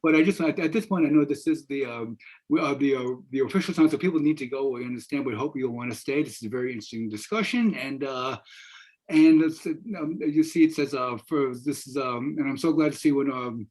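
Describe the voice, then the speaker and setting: An older man from the United States hosting a discussion as a professor, speaking in a halting, rambling way with many repetitions. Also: mid-range voice, a little mellow, poor audio. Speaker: host, professor. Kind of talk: moderating a panel discussion. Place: indoor room at home, video call. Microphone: computer mic on video call.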